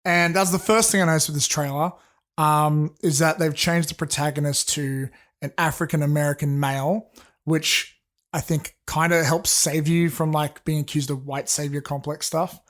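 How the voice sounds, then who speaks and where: Man, podcast co-host, sore throat, rambling, full of ums, sits a bit higher in pitch. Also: fairly even intonation, moderate pace, a bit bright. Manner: rambling, hesitant, swears. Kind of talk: casual chat.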